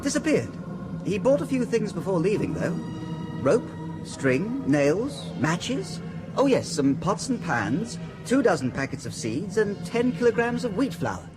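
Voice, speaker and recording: A man's voice: high voice, very animated, measured pace, music in the background, poor audio. A hesitant, fussy young man. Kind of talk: radio drama acting.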